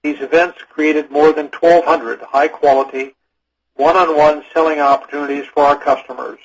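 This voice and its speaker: A man's voice: fairly high pitch, steady tone, normal speed, slightly rough, poor audio. A man formally reading a scripted business report as a company executive on an earnings call. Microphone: phone or conference call line.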